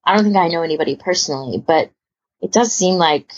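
A woman co-hosting a podcast, chatting casually in a husky, gravelly voice. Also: lowish voice, quick pace, highs cut off. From United Kingdom. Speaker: podcast host.

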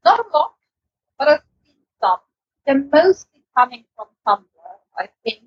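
A woman's voice: high-pitched, sing-song, measured pace. A woman chatting casually as a podcast panelist with dry humour, on a muffled recording. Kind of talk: casual chat.

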